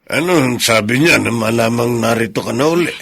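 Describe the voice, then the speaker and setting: Worried fair-minded filipino guy, radio drama, creaky husky voice. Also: mid-range voice, fairly quick, a bit bright. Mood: concerned, grave. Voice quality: gravelly, husky. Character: concerned, fair-minded male confidant of the family.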